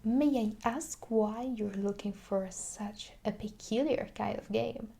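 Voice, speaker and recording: A woman doing a soft-spoken ASMR roleplay as a video game shop clerk, slipping into whispers, with a hesitant, halting delivery. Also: mid-range voice, creaky.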